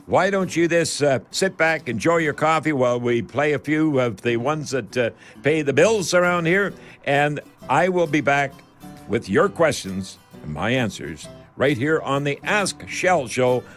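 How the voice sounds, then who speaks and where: A man's voice: a little high, animated, measured pace, fairly bright tone, soft background music. A man hosting a radio call-in show in a folksy, rambling way, addressing listeners as friends. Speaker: host of a home improvement radio call-in show.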